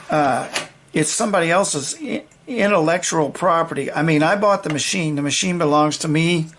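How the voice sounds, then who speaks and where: An older man giving a casual, unscripted demonstration of vintage gear, talking in a folksy, halting, rambling way. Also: on the high side, a bit bright.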